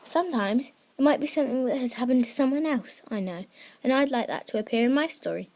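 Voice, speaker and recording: A woman's voice: sits high, lively intonation, fast, dark tone. A woman hosting a scripted lesson for children as a creative writing teacher, speaking in simple, encouraging terms. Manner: simple, encouraging address to young listeners. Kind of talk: scripted instructional narration for children.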